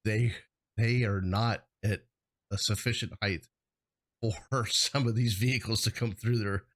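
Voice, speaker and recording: A man's voice: on the low side, fairly even intonation, a little slow. A man co-hosting a casual shop-talk discussion, speaking in an informal, plain-spoken way. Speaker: hazmat consultant and show host. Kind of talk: casual chat, professional topic.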